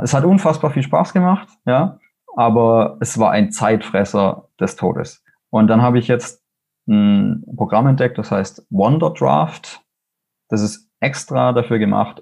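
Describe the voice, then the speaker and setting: A man's voice: fairly low pitch, creaky, darkish tone, breathy edge. A man with a Swabian accent, a fantasy author speaking casually and enthusiastically in an interview, rambling with fillers and restarts. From Swabia, Germany.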